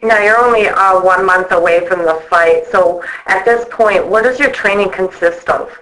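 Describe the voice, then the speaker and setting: A woman's voice: mid-range voice, normal speed, fairly bright tone, poor audio. A woman asking questions in a casual phone interview, in an informal, chatty way.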